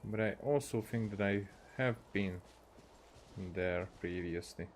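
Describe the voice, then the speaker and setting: A man's voice: on the low side, slow, a little hiss or hum. A man with a Hungarian accent casually commentating on a video game, musing aloud in short fragments. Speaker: game streamer.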